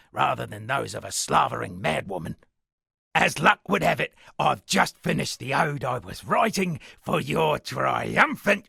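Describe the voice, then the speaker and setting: A gravelly, theatrical poet. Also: male voice, a little high, a bit bright, no room sound, studio quality, exaggerated voice. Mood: wry concern, then smug. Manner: florid, grandiloquent, dramatic. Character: theatrical, self-satisfied male town poet and storyteller. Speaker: video game character voice actor. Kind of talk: theatrical game dialogue with declaimed verse.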